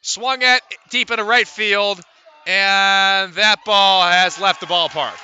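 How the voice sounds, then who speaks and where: A man's voice: sits high, steady tone, slow pace, pushed and bright, muffled recording, a little hiss or hum. A man calling live baseball play-by-play for radio, mixing clipped stat readouts with fuller descriptions of the action. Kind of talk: live radio sports commentary.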